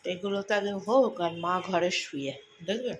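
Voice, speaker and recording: A woman's voice: mid-range voice, normal speed, slight background noise. A woman chatting informally to family and viewers in a casual daily vlog.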